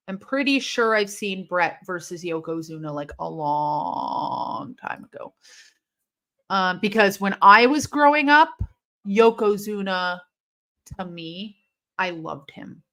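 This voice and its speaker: Woman streamer from illinois, rambly live chat, says like a lot, hissy s's. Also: medium pitch. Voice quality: intense, sharp S sounds from a mostly corrected lisp. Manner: chatty and rambling, heavy use of "like". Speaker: host of a live wrestling watch-along. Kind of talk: casual live-stream chat reading comments.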